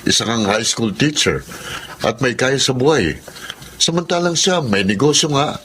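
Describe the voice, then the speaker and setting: An actor with a Filipino accent playing a jealous, arrogant, well-off suitor in a radio drama, haughty and insistent. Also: male voice, medium pitch, animated, moderate pace, a bit bright, slight background noise, natural voice.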